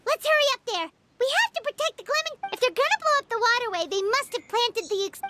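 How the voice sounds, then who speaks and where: A woman voicing an excitable, timid little fairy companion in a video game who talks about herself in the third person. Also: very high-pitched, quick pace. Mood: urgent. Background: game music.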